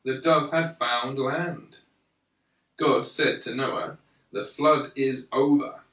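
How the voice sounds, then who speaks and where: Slow-paced man reading aloud, bright and echoey. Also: mid-range voice. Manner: measured and deliberate, with pauses between phrases. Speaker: storyteller reading a Bible picture book to a young child. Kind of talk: read-aloud children's storytelling. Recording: roomy, echoey, slightly distant microphone.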